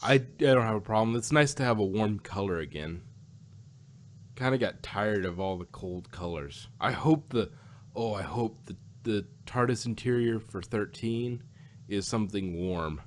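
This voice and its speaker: A man chatting casually as a podcast host, rambling and halting, often repeating himself as he gives his opinions. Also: on the low side, a little hiss or hum. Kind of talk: casual opinionated fan chat.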